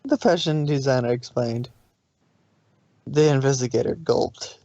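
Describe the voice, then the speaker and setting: A woman narrating fan fiction as an expressive story reading. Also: a bass voice, measured pace, no echo. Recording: slightly dull home recording.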